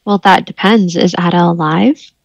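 A woman's voice: on the low side, normal speed, creaky, no room sound, highs cut off. A woman playing in a tabletop roleplaying game, answering the game master with hesitant fillers. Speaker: player.

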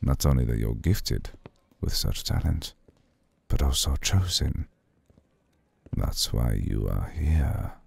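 Man voicing an extremely deep, gravelly old vampire lord. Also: animated, darkish tone, no room sound, exaggerated voice. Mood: soothing, seductive. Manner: formal, unhurried, seductive. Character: suave, centuries-old male vampire lord, courteous, cool, possessive. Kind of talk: ASMR roleplay.

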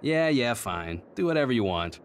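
A man's voice: medium pitch, sing-song, moderate pace, slight background noise, lightly acted. A man playing a no-nonsense squad captain in an anime game, curt, authoritative and dryly weary. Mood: weary, firm. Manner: curt, authoritative, matter-of-fact.

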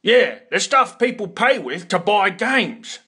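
A man voicing a gruff, greedy, curt troll executive in a cartoon. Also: high voice, sing-song, slow pace, pushed and bright, full character voice. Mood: indignant. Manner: curt, demanding. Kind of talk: cartoon character acting.

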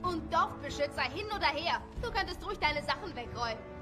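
A woman playing a sharp-tongued, sarcastic young housemate in an audio drama. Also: sits high, lively intonation, fairly quick, music in the background. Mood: exasperated, sarcastic.

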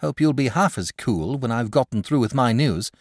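A man voicing an eager, excitable young village boy in a storybook reading, pleading and high-pitched. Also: moderate pace, no echo, studio quality. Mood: excited.

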